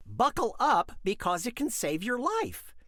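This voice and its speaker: An actress playing an earnest young kid in a children's audio story. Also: female voice, a little high, big pitch swings, a little slow, fairly bright tone, dry recording, studio quality.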